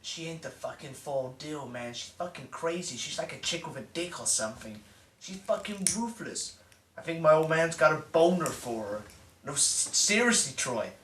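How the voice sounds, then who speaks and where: A loud, boorish, swaggering young American bro, played by a man, his voice pitched up high. It sounds roomy and echoey, as though he is standing back from the mic. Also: animated, normal speed, recorded from a distance, slight background noise. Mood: bickering. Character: brash crude young American man, president's son. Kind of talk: mockumentary comedy acting.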